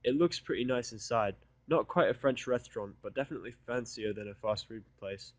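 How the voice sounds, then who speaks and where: A man's voice: mid-range voice, brisk, no room sound. A man narrating first-person fiction with a sardonic, irritable delivery. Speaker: audiobook narrator.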